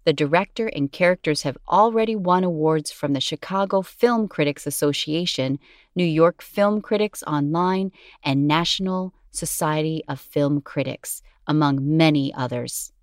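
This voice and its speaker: A woman narrates a scripted documentary podcast in a low register. The recording is dry and close, and her delivery is measured and formal, read cleanly from a script. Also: no echo.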